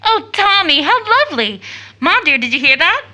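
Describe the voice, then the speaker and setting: An impulsive American teenage girl in a period radio play. Her voice is very high and bright, leaping around in pitch. She is an affectionate, emotional sister who speaks in exclamations. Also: very animated, normal speed, full character voice. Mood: excited, playful. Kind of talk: audio drama acting of an early 1900s stage play.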